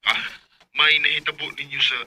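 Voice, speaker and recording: An actor with a Bisaya accent from the Philippines, playing an earnest, tentative young suitor in a radio drama. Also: male voice, fairly high pitch, level tone, a little fast, over loud music, close to an everyday voice. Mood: earnest, lovelorn.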